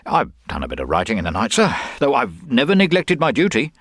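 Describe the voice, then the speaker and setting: Man acting young police constable, male, raised pitch, animated, flustered, earnest, defensive, deferential, stammering, detective story, dry recording. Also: fairly quick, husky, dry recording, heavily acted. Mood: embarrassed. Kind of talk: dramatised audiobook detective story.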